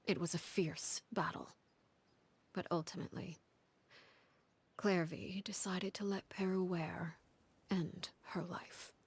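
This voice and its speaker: A commanding woman of cold composure, tells dark video game lore. Her voice sits fairly low and stays hushed and breathy. Each phrase is solemn and deliberate. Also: fairly even intonation, full character voice. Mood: solemn, restrained. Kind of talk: dramatic storytelling in a video game.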